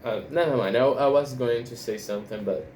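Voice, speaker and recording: A Brazilian man speaking English as a second language, his first being Portuguese, in an unscripted monologue, often halting and restarting his sentences. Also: medium pitch, darkish tone, a little hiss or hum. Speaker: language learner vlogger.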